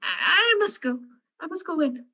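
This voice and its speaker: Radio drama role for a woman: timid young country sweetheart, very high and bright, devoted and superstitious. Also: big pitch swings, moderate pace, full character voice. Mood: frightened. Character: shy, adoring young country girl in love, superstitious. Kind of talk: radio play acting.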